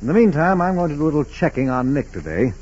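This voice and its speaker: An actor playing a methodical FBI special agent in a 1940s radio drama, with a clipped, deliberate, businesslike delivery. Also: male voice, fairly high pitch, sing-song, darkish tone, slightly rough. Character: steady, methodical male FBI special agent investigating a case. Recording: dull, muffled 1940s radio recording.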